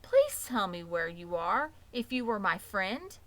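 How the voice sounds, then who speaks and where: A woman's voice: medium pitch, big pitch swings, measured pace, a bit bright. A woman voicing an earnest, naive young male pig with polite, formal phrasing, in a children's storybook reading. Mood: loud, formal announcing.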